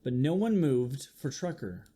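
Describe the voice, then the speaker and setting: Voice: medium pitch, very noisy. A teacher reading a picture book aloud to young listeners, expressively and with chatty asides. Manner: slow, expressive, with acted-out sound effects. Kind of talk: children's story read-aloud with asides to listeners.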